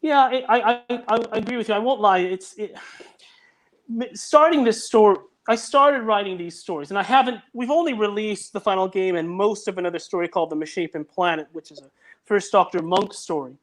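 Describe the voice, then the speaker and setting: A man's voice: sits high, fairly quick, a bit bright. A man who writes audio dramas, talking as a guest on a video call and thinking aloud while he explains his story. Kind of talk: casual video chat.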